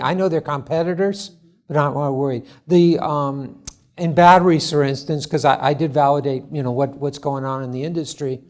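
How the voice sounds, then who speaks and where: A man presenting his startup at a conference forum, answering judges' questions in a halting, earnest way full of restarts. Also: on the high side, darkish tone. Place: conference hall.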